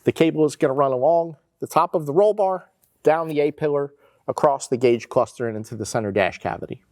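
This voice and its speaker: A man's voice: fairly high pitch, fairly quick, a little mellow. An American man in his forties giving a casual, plain-spoken step-by-step tutorial as an installation instructor.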